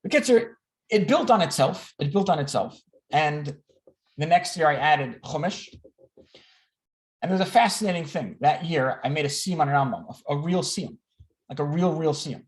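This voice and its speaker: A man's voice: a little high, lively intonation, moderate pace. A man in his thirties giving a candid, self-deprecating personal talk to a group on a video call, often repeating words and restarting. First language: English. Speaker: speaker at an online learning gathering.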